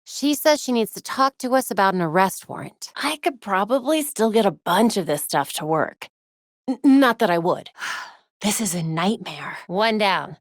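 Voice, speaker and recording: Woman acting a young video game heroine, American accent, wry, determined, crisp, conversational, dry studio sound. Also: mid-range voice, animated, normal speed, no echo, close to an everyday voice. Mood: dry, businesslike. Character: young crime-fighting superheroine, dry-witted, determined, brisk. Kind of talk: scripted video game voice lines. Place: recording studio.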